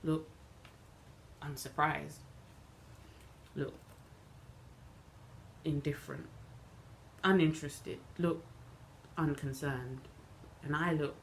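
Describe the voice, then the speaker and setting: A woman performing a dramatic monologue as a bitter, wronged young woman recounting events in a deliberate, halting way with emphatic repetition. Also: sits low, steady tone, rough recording. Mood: controlled hurt. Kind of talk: dramatic stage monologue, self-taped. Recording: noticeable background noise.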